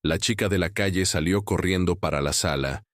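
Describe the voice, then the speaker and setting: A man narrating scripted horror stories in a grave, measured manner. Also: deep voice, fairly bright tone, no room sound, clean studio sound.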